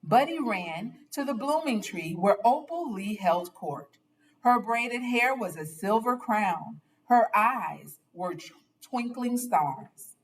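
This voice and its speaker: A woman reading a children's picture book aloud with expressive, dramatic and deliberate phrasing. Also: a little high, recorded from a distance, poor audio.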